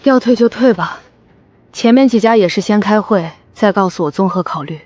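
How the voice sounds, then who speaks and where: A woman playing a wary, let-down client seeking a lawyer in an animated drama, guarded and direct. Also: medium pitch, moderate pace, no room sound, lightly acted. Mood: weary, bitter.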